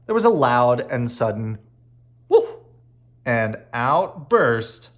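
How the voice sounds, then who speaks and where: Children's read-aloud narrator: mid-pitched, leisurely, highly varied delivery, playful and dramatic. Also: sing-song, slow pace. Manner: dramatic, with playful sound words. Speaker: children's book reader. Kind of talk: animated read-aloud storytelling.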